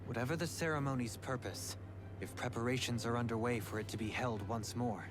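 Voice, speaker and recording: An actor playing a composed, erudite young nobleman in a video game, speaking in a formal, measured, articulate way. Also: medium pitch, fairly even intonation, music in the background, close to an everyday voice. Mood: composed, explanatory.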